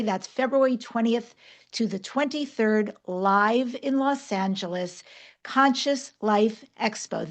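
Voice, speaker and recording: A woman hosts a podcast in a fairly high-pitched voice. She is from New York and addresses people directly, leaning on key words as she goes. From New York, United States. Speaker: podcast host. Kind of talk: podcast hosting, announcements and interviewing.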